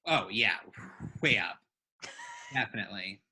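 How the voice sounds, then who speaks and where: A man co-hosting a casual live podcast, easygoing and a bit halting as he talks. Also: fairly low pitch, lively intonation, pushed and bright, husky. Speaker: podcast co-host.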